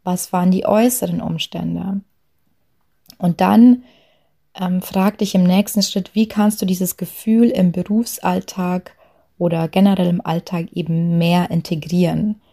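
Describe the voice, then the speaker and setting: A young woman with a light Bavarian accent talks through coaching points in a relaxed, chatty way. Her voice sits a little low, with a gravelly fry and a dark, mellow tone. She leans on fillers and small asides. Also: measured pace. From Lower Bavaria, Germany. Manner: chatty, frequent fillers, soft laughs. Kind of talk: talk on authenticity at work.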